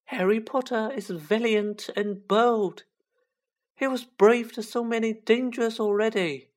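A woman voicing a small male house-elf for a fantasy audiobook, a squeaky, humble, anxiously devoted servant who pleads with drawn-out emphasis. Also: steady tone, darkish tone. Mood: awed, tearful. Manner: pleading, drawn-out, emphatic delivery in third person. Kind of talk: children's fantasy audiobook character voice.

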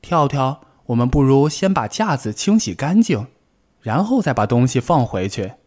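A man voicing a small excitable male rabbit in a storybook, with agitated, squeaky outbursts. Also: normal speed. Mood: eager.

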